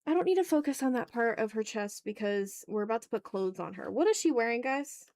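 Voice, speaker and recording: A woman's voice: fairly high pitch, animated, brisk, a little mellow, no echo, studio quality. A woman chatting casually as she draws on a livestream, teasing her viewers with playful mock exasperation. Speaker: art streamer. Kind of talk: casual livestream chat while drawing.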